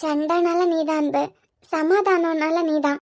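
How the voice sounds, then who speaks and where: Girl doing a teary lovesick young woman, super high voice, begging him. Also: steady tone, a little slow, darkish tone. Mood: pleading. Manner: tearful, pleading. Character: young woman pining in love, tearful. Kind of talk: romantic audio drama acting.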